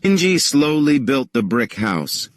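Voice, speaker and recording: A man's voice: medium pitch, big pitch swings, a little slow, dry recording. Dry-sounding male children's story narrator.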